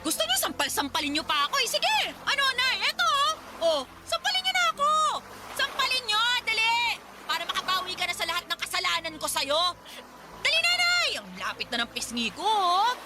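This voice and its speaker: Defiant Filipino mother, very high, swooping, sarcastic radio soap delivery, clear background noise. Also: sing-song, brisk. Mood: taunting, defiant. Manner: sarcastic, taunting, exasperated. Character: defiant, fed-up Filipino mother, sharp-tongued daughter. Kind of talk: Tagalog radio drama acting.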